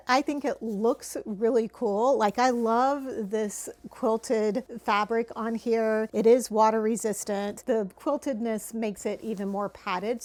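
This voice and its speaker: A middle-aged woman giving a chatty product review to camera, slightly hoarse from losing her voice, often repeating words for emphasis. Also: a little high, no echo. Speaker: travel gear reviewer.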